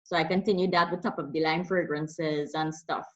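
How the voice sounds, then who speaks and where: A woman's voice: low voice, steady tone, moderate pace. Friendly, chatty woman guest from Trinidad and Tobago, muffled lo-fi line. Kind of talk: casual interview chat.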